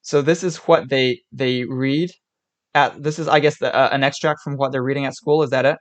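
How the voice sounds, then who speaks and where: A young man from Switzerland, born there and streaming from a desk mic, talks through his reactions to clips in loose, halting bursts. He stops himself, restarts sentences and laughs often, at a voice of middling pitch. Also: fairly even intonation. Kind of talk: casual reaction commentary.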